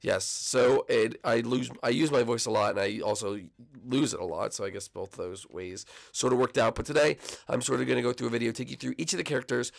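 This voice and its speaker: A young man chats casually over gameplay footage, his voice hoarse and froggy. He rambles with plenty of ums and uhs, and a gravelly fry runs through his speech. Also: mid-range voice, brisk. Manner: rambling, many fillers. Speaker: gaming channel host. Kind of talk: casual video commentary.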